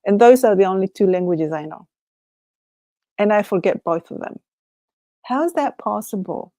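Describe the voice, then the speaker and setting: A woman with a Chilean Spanish accent chats alone to her livestream audience in a mid-pitched voice. She rambles, laughs often and trails off mid-thought. Her voice sits close and dry, with almost no room echo. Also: animated, normal speed, warm tone. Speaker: livestream host. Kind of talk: casual solo livestream chat.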